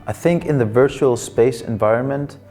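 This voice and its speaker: A Danish man in his twenties, a psychology student and counselor, giving thoughtful interview answers in English as a second language, often pausing with fillers. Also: mid-range voice, warm tone, soft background music. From Denmark. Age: 20s.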